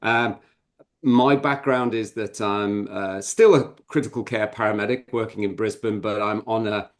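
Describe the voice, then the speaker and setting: Male podcast co-host and author, lower register, conversational and meandering, candid with a dry joking edge. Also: fairly even intonation, moderate pace. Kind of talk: casual interview talk.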